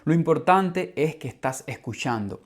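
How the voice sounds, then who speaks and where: A man with a Cuban Spanish accent, a language teacher hosting a podcast for learners in a calm, clear, measured scripted narration. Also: fairly high pitch. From Cuba.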